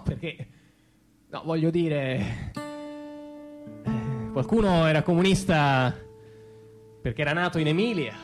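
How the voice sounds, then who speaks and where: A man acting a defensive, evasive ordinary father in a halting, repetitive theatrical monologue. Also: fairly high pitch. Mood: nervous, reluctant candour, then declamatory. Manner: hesitant, halting, repeating himself. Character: defensive ordinary middle-class father, evasive about his past. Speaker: stage actor performing a monologue. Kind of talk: theatrical comic monologue, as if answering questions.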